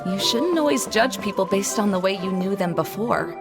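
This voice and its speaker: A woman voicing a calm, steady young female adventurer in a video game. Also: medium pitch, moderate pace, close to an everyday voice. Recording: game cutscene with clear background music.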